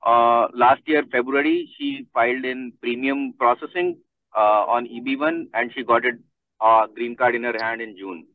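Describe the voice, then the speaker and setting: A man's voice: mid-range voice, normal speed, dry recording. A man calling in with questions, speaking over a phone connection. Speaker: caller. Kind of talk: asking questions on a conference call. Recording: tinny, dry phone line.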